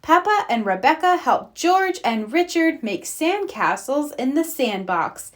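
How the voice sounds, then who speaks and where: Slow, lively woman reading a children's story. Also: high voice. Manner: expressive, clearly paced for young children. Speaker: read-aloud storyteller. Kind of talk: scripted children's storybook narration.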